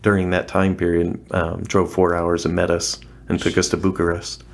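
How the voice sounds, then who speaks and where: Low-voiced man telling his story. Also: monotone, normal speed, creaky, a little hiss or hum. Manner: earnest, recounting events with many restarts. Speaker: interview guest, former missionary. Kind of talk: casual storytelling interview.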